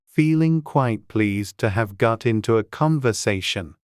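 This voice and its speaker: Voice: mid-range voice, animated, measured pace, darkish tone, no echo, studio quality. An audiobook narrator reading a dramatized storybook.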